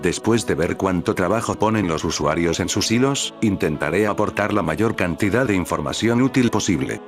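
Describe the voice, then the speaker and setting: A man giving scripted narration in an even, read-aloud manner. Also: deep voice, normal speed. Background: faint music.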